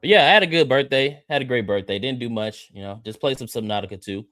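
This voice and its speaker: A man's voice: mid-range voice, animated, quick pace, a bit bright, dry recording, clean studio sound. An American man of thirty co-hosting a livestream with casual, joking chat, boisterous and slangy, repeating phrases for emphasis. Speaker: livestream co-host.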